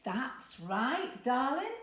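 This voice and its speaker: Woman as a doting grandmother with animated pitch. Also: extremely slow, echoey room, noisy background, full character voice. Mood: playful. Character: doting, competitive Kenyan-born grandmother.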